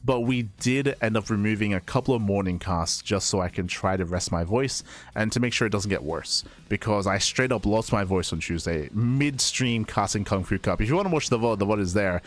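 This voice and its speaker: A man's voice: on the low side, a little fast. A man casting esports, winding down a stream in a chatty, rambling way, his voice still recovering after he lost it. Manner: chatty, rambling with fillers and restarts. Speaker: esports caster. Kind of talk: casual stream sign-off.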